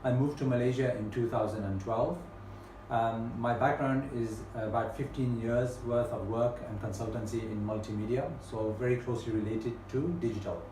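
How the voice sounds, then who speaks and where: A British man from the UK hosting a webinar, speaking in a measured way with frequent ums and uhs. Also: mid-range voice, steady tone, background noise. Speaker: webinar host. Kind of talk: presenting a webinar. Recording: echoey room, mic at a distance.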